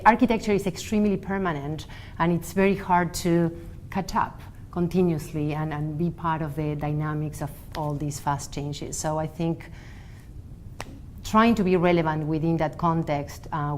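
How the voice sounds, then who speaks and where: A woman's voice: low-pitched, darkish tone, a little hiss or hum. Thoughtful woman. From Argentina. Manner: thoughtful, pauses with frequent ums. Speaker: architect. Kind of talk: documentary interview.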